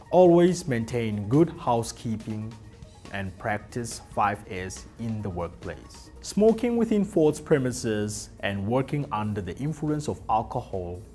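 A man presenting a scripted workplace safety induction, reading rules slowly and deliberately with emphatic listing. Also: fairly low pitch. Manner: slow, deliberate, emphatic list delivery. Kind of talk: scripted corporate safety narration. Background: soft background music.

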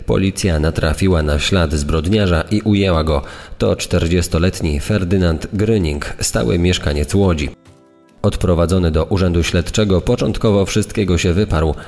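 Man reading as grave male witness, true-crime dramatised quote, deep voice, long reverb, quiet music bed. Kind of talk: dramatised reading of archive quotes and press reports.

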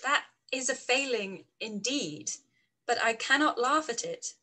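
A bright, lively young lady, played by an actress in a period radio play. She is witty and spirited, with a wry, pointed way of speaking. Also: on the high side, measured pace, exaggerated voice. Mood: teasing, wry. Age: young adult. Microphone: video call.

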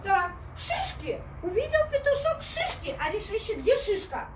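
A woman in her thirties leading a playful toddler class, talking to small children in a drawn-out sing-song way with little rhymes. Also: extremely high pitch, big pitch swings, noisy background. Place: indoor children's playroom. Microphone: distant camera. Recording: echoey room, distant microphone, music behind.

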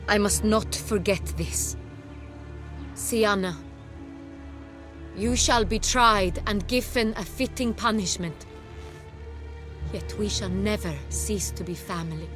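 Female actor as regal game duchess, slow measured delivery, formal, sorrowful, clear music behind. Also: mid-range voice, close to an everyday voice. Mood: resolved. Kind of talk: video game acting.

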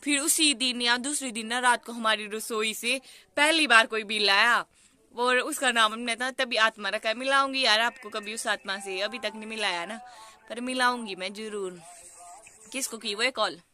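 A woman's voice: fairly high pitch, moderate pace, sharp tone. A young woman with a Pahari accent, vlogging outdoors on a hillside with a phone at arm's length, chatty, giggly and teasing. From Himachal Pradesh, India. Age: young adult. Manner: giggly, teasing, talks straight to viewers. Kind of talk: casual chatty vlog. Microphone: phone camera held at arm's length.

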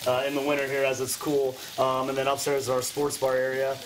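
Male guest cook, 30s, American. Brisk, informal explanations over light kitchen sizzle. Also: mid-range voice, completely flat delivery, poor audio. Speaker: restaurant and brewery owner, guest cook. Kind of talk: casual explaining while cooking. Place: indoor TV studio kitchen. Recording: faint pan sizzle and stirring noise.

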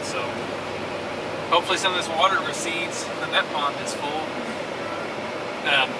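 A man's voice: on the high side, fairly even intonation, moderate pace. A middle-aged man with a Texas accent chatting casually in a plain-spoken, folksy way while driving. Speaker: farm vlogger. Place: inside a moving pickup truck cab. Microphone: camera held from passenger seat. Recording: distant mic, loud road and vehicle noise.

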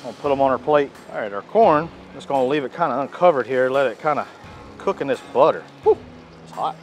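A man's voice: mid-range voice, animated, brisk, faint music behind. A man, chatting casually while cooking outdoors on a beach for his cooking channel, on a clip-on lapel mic. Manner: folksy, chatty, says "y'all" often.

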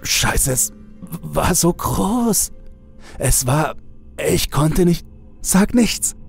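A man voicing a frightened teenage boy who speaks in a shaky, halting, panicked way. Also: a little high, big pitch swings, gravelly, soft background music, voice pushed up, heavily acted.

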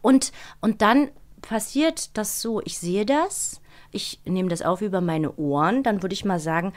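A middle-aged woman, a voice actress, talking in a studio interview in a lively, expressive way and acting out little scenes. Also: medium pitch, measured pace. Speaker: voice actress guest. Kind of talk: interview conversation. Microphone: studio microphone.

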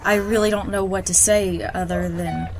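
A woman's voice: medium pitch, steady tone, moderate pace, close to an everyday voice. An actress playing a defiant, disgraced air officer in an audio drama, solemn and formal in her speech. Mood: earnest, solemn. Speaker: voice actor. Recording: echoey space with faint noise.

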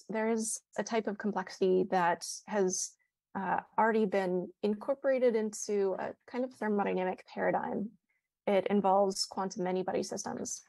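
Creaky-voiced woman explaining academically. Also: medium pitch, a little mellow, dry recording, low-quality recording. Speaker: panelist, physicist. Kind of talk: explanatory academic panel answer. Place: indoor room, joining by video call. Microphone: earphone headset on video call.

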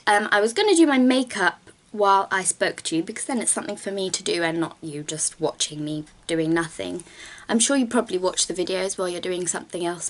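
A young woman films a relaxed vlog from a small bedroom, close to the camera. Her voice is a bit croaky this morning, and she rambles on with plenty of ums and corrects herself mid-sentence. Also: mid-range voice. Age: young adult. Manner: chatty, rambling, lots of ums and self-corrections. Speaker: vlogger. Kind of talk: casual talking-head vlog while doing makeup. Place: small bedroom with bookshelves, indoors. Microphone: camera at close range.

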